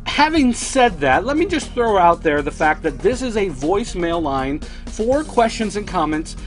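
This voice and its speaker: A man hosting a toy collecting news show, chatting casually to viewers in a dry, sardonic way. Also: on the high side.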